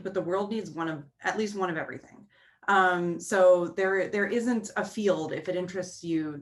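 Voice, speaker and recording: A woman in her thirties with a somewhat low voice, talking earnestly as a career panelist. She restarts sentences often and leans on fillers. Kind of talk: panel talk over video call.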